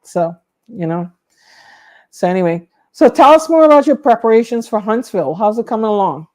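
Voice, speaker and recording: A woman's voice: medium pitch, expressive, a little fast, darkish tone. A woman co-hosting a livestream, chatting casually about her hobby in an informal, conversational way. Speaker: livestream co-host.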